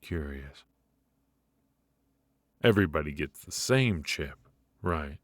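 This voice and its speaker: A man playing a menacing, teasing mafia boss in an intimate ASMR roleplay, speaking with deliberate pauses and mock politeness. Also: a bass voice, lively intonation, dry recording, heavily acted. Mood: probing, unhurried. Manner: slow, deliberate, mockingly polite with drawn-out pauses.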